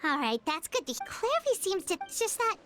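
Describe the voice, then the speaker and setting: A woman playing a small, excitable, childlike fairy companion in a video game, with a squeaky, exclamatory delivery. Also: moderate pace, over music, heavily acted. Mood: thoughtful. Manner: exclamatory, refers to self in third person.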